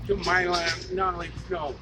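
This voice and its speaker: A man answering a street preacher, calm and hesitant, pausing on his words and correcting grammar. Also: sits high, noisy background. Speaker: passerby being questioned.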